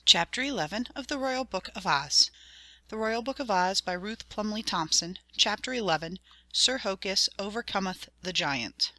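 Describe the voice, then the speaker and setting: A woman's voice: medium pitch, animated, normal speed, sharp tone, no echo. A woman reading a children's fantasy storybook aloud as scripted, dramatic audiobook narration. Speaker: audiobook reader.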